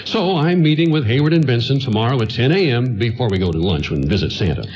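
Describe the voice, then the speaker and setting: A man's voice: mid-range voice, animated, fast talker, darkish tone, soft background music, lightly acted. An actor playing a harried, good-natured father and producer in a radio play, speaking with theatrical, exasperated emphasis.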